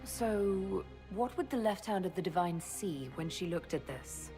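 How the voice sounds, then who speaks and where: A woman's voice: lowish voice, lively intonation, measured pace, warm tone. An actress voicing a confident woman mage who leads an order, speaking with dry wit in a game cutscene, music behind. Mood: wry. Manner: wry, dry humor. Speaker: player character, the Inquisitor. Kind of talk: video game cutscene dialogue.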